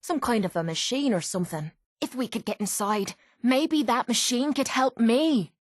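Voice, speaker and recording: A woman's voice: fairly high pitch, animated, moderate pace, no room sound, studio quality. An actress playing a tough, sardonic young Irish woman, a blunt and slangy wasteland fighter in a video game. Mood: guarded, earnest. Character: tough, foul-mouthed, sardonic young female wasteland brawler companion. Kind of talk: video game character dialogue.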